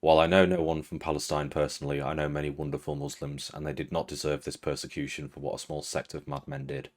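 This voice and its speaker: A young man with a British accent, his voice sitting very low. He reads a prepared critique as host, steady, earnest and dry in tone. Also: fast. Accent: British English. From Britain. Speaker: video essay host. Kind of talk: scripted critical commentary.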